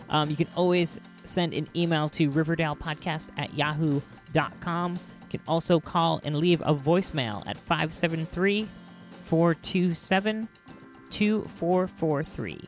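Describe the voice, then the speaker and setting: A man hosting a solo podcast in a casual, halting and enthusiastic way. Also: on the high side. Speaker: podcast host.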